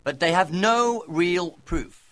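A fussy scientist in a storybook reading, acted out by a male narrator. His high voice swings up and down as he doubts everything, emphatic and slow. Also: animated, fairly bright tone, no echo, heavily acted. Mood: sceptical. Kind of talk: audiobook character voice.

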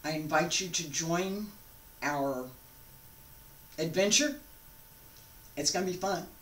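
A woman in her sixties giving an informal invitation to camera, speaking deliberately in short phrases with pauses, recorded at home on a distant microphone. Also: fairly low pitch. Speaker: founder of a radio drama show. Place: carpeted room at home, indoors. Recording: echoey room, distant microphone.

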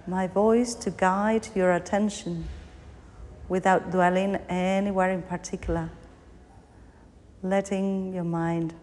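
A woman teaching yoga nidra, guiding a meditation in short phrases with pauses between them. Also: lowish voice, a little mellow. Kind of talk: guided meditation, body scan narration. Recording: long hall reverb, faint background noise.

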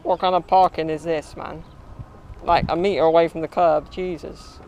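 A young man vlogging outdoors on suburban streets and in a park, chatting casually to a camera mounted low on his wheelchair, with playful boasts. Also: steady tone, normal speed, darkish tone, a little hiss or hum. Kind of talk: casual vlog chat to camera.

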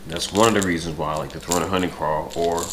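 A man's voice: deep voice, fairly even intonation, fast talker, noisy background. An American man in his thirties talking casually to camera at home, his voice stuffy and congested from a cold. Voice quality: stuffy nasal voice. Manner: frequent throat clearing. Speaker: fishing vlogger. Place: home dining room with large windows.

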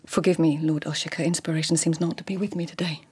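An actress in a radio drama playing a melancholy, wry lady-in-waiting poet of old Japan, speaking in a measured, poetic way with a creaky voice. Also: deep voice, steady tone, darkish tone. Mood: polite, weary. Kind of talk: BBC radio drama acting.

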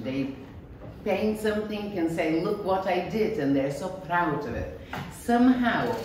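A woman's voice: low voice, background noise. A middle-aged woman from Bulgaria with a Bulgarian accent, giving an informal art lecture. She speaks plainly and earnestly, often searching for words. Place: small bright gallery room, indoors. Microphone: far camera, standing mic at a lectern. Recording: echoey room, recorded from far off.

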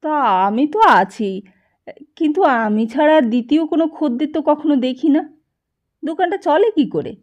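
A woman's voice: high voice, animated, normal speed, darkish tone, pitched above the performer's own voice. Woman voicing a hesitant, uneasy village man. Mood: uneasy, curious. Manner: hesitant, tentative. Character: hesitant, uneasy male villager, a trusting customer. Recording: dull lo-fi narration recording.

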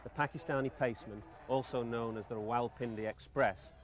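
A man narrating a sports documentary in a measured, explanatory way. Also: mid-range voice, steady tone, loud music behind the voice. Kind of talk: scripted narration.

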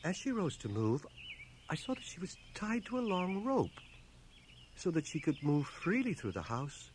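An actor in a radio drama playing a reflective man narrating his small-town boyhood in a measured, expressive way. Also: fairly high pitch, slight background noise. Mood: reflective, grave. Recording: home cassette transfer, music underneath.

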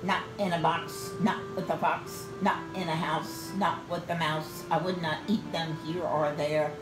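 An older woman reading a children's storybook aloud in a deliberate, sing-song way, drawing out her phrases. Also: deep voice, level tone, music in the background. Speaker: senior living resident reading a children's storybook. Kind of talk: read-aloud storytime narration. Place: small room indoors, in an armchair by a window. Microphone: camera a short distance away. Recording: roomy home video sound.

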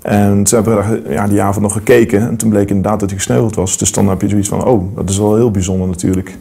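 A man's voice: lowish voice, flat delivery, fast, creaky, warm tone, slightly rough. A man in his thirties telling his story in a documentary interview, hesitant with many fillers and restarts. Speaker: metal detectorist interviewee. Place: studio against plain backdrop.

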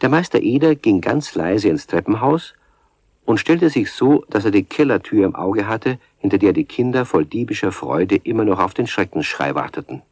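A man's voice: lowish voice, animated, moderate pace, a little mellow, slightly rough, no echo. A man narrating a children's radio play as a storyteller, in a scripted storybook style. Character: male storyteller of a children's tale.

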